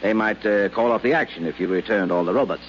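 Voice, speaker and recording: An actor playing a wry lawyer in a 1950s radio drama, dry and deliberate in his phrasing. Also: fairly low pitch, lightly acted. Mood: dry, unhurried. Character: wry, laid-back lawyer turning formal and forceful in court. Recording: muffled, noisy old radio recording.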